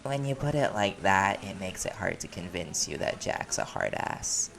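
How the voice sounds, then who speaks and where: A man's voice: low voice, wildly swooping pitch, normal speed, vocal fry, a crowd in the background, exaggerated voice. An actor playing a playful, caring man in a chatty, teasing audio roleplay conversation. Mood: casual, doubtful. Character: silly, playful, caring man at a community party.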